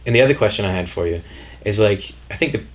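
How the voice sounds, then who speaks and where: A man's voice: on the low side, animated, fast, poor audio. Casual young male podcast host. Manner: enthusiastic, casual, says dude and bro a lot. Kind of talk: casual chat.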